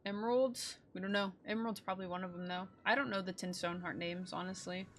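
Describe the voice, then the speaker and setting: A woman streamer giving casual, slangy, excitable commentary on a game playthrough, swearing freely. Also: medium pitch, fast, slight background noise.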